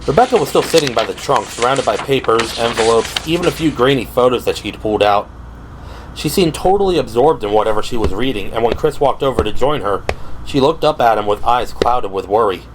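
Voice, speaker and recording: A man's voice: mid-range voice, expressive, brisk, background noise. A man delivering scripted, dramatic narration for an audio drama. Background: dramatic background music.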